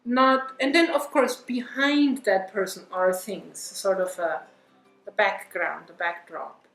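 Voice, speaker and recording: A woman from Germany with a German accent, speaking reflectively in an interview with measured pauses. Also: medium pitch, fairly bright tone. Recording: echoey room, guitar music underneath. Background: clear guitar music.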